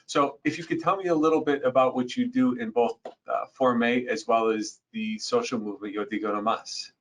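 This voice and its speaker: A man's voice: mid-range voice, steady tone, normal speed, a bit bright. A man hosting a business podcast, introducing and questioning his guest in a friendly way with many fillers. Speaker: podcast host, company president.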